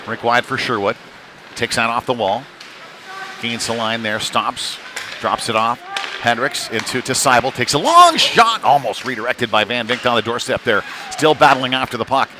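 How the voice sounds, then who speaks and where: A man doing live play-by-play of a youth hockey game, talking in a halting, conversational way with folksy slang. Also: mid-range voice. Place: indoor ice rink during a live game. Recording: noisy rink, clear background ambience. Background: rink noise and game ambience.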